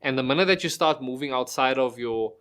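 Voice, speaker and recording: A man's voice: mid-range voice, fairly quick, a bit bright, clean tone. A middle-aged man, a podcast guest, talking casually and explaining with everyday examples, restarting phrases and asking rhetorical questions. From South Africa.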